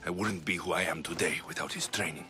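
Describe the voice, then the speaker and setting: An actor playing a young Spartan mercenary in a video game, earnest, wry and battle-hardened. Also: male voice, low-pitched, fast, bright, forceful tone, soft background music. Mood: wistful, pained. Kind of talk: dramatic video game cutscene acting.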